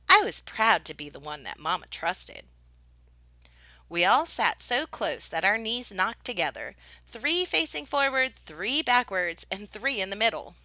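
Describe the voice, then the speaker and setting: A woman reads a picture book aloud like a teacher to young listeners. Her voice is bright and very animated, with deliberate, expressive phrasing. The sound is dry and close. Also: mid-range voice, no room sound. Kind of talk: expressive children's storybook narration.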